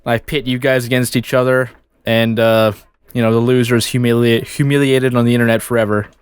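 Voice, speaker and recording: A man's voice: medium pitch, little pitch movement, a little fast, clean tone, dry recording, studio quality. A young man co-hosting a casual podcast, sniffly with a stuffy nose, joking and swearing between chuckles. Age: young adult. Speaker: podcast co-host. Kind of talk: casual chat.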